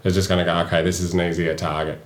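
An Australian man chats easily, spinning anecdotes in relaxed conversation. His voice is very deep, and the room around him rings with echo. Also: racing. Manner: chatty, storytelling. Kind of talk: casual chat. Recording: roomy echo.

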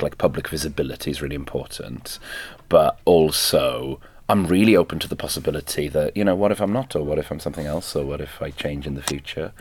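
A man's voice: a bass voice, breathy edge, dry recording. A Welsh man, a stand-up comedian and native Welsh speaker, chatting backstage and laughing often as he questions himself. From Wales. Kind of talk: casual backstage chat. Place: backstage at a venue.

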